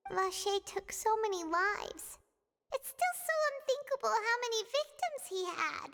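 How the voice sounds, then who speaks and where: An actress voicing a tiny, chirpy, excitable fairy-like girl companion in a video game, with a high, squeaky, bouncy voice. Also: measured pace, vocal fry, background noise, full character voice. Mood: somber. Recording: game audio with reverb and music.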